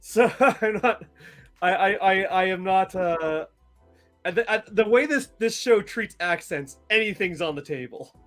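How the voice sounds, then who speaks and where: A man's voice: high voice, lively intonation, fairly bright tone, soft background music. A man in his forties, a native French speaker, co-hosting a casual chat who stumbles and repeats words as he talks. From French-speaking upbringing. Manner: halting, repeats words and restarts. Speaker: podcast co-host.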